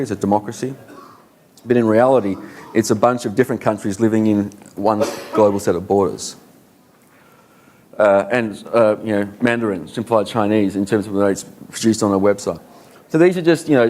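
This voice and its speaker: A man's voice: fairly low pitch, darkish tone. Australian man giving a conference talk. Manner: conversational, many fillers, rhetorical questions. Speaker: conference speaker.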